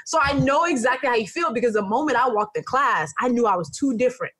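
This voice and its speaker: A woman's voice: on the high side, lively intonation, fast talker, fairly bright tone. A woman podcast host, from Nigeria, chatting and laughing, acting out what she said as a child. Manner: chatty, laughing, mimics past self. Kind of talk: casual interview chat.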